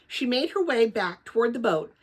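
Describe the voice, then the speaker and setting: A woman reading a children's novel aloud as narrator, with dramatic, expressive storytelling. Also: on the high side, moderate pace, husky.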